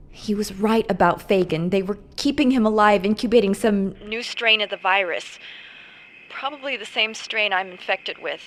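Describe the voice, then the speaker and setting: A woman's voice: medium pitch, slight background noise, close to an everyday voice. A woman voicing a tough captured female agent in a game cutscene, tired and winding down but determined, talking tersely.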